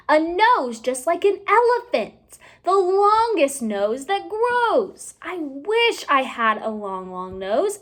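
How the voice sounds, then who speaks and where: A woman reading a children's storybook aloud like a teacher, with expressive, deliberate phrasing and drawn-out words. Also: sits high. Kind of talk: children's read-aloud narration.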